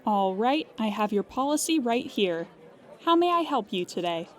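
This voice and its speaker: A woman playing a calm, formal claims agent who speaks politely and methodically on a phone call in an improvised comedic audio drama. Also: a little high. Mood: polite, businesslike. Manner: polite, measured, procedural, matter-of-fact.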